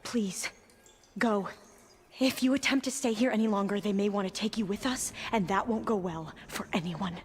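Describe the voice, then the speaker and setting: A woman's voice: medium pitch, close to an everyday voice. Woman acting devout, earnest expedition member, faint noise. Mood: pleading. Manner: formal, measured, reverent. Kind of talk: dramatic video game acting.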